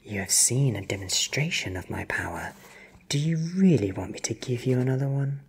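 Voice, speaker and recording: A performer voicing an ancient, powerful vampire who speaks with cold, deliberate menace. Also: medium pitch, expressive, husky. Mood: threatening.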